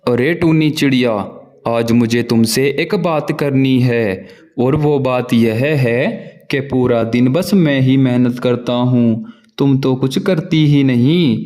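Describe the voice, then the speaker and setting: A man voicing a grumbling, hardworking male crow husband in a cartoon, with a gruff, scolding manner. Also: mid-range voice, dark tone, close to an everyday voice. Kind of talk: cartoon voice acting.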